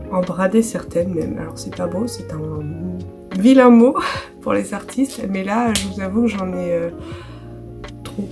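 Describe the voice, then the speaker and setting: A woman in her thirties from France, hoarse with a cold, talking softly and casually in her art studio vlog, with many fillers. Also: medium pitch. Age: 30s. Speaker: illustrator. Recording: music playing behind.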